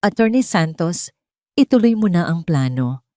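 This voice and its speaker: A woman's voice: on the low side, big pitch swings, normal speed, mellow, no echo, studio quality. A woman voicing a betrayed, wealthy wife who is cold, resolute and commanding, in an audio drama.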